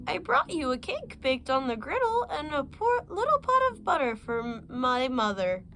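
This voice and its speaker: A sly, hungry wolf from a read-aloud fairy tale squeaks in a thin, put-on old-lady voice to fool his prey, as a woman puts him on. The voice sits high and strained, with a cunning edge under the false sweetness. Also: sing-song, normal speed, a bit bright, a little hiss or hum, heavily acted. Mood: falsetto mimicry. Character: sly hungry male wolf, faking a granny voice.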